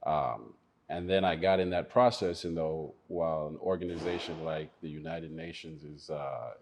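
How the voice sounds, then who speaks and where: Guy from malawi, super low voice, laid-back interview chat, lots of likes and uhs. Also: fairly even intonation, normal speed, noisy background. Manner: chatty, laughs often, many fillers. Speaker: attorney and investor. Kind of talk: casual interview conversation.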